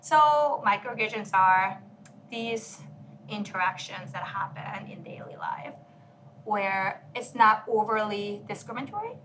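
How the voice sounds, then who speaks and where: A woman talking through ideas in an earnest, explanatory way, peppering her speech with "like" and "right?". Her pitch sits in an ordinary middle range. Faint noise hangs behind the voice. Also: a little slow, fairly bright tone, poor audio. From South Korea. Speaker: podcast guest. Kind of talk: casual conversation.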